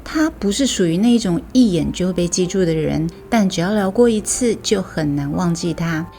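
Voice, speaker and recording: A woman's voice: lowish voice, expressive, moderate pace, vocal fry, mellow. Taiwanese woman narrating over music. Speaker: storytelling host. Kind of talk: scripted story narration. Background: music bed.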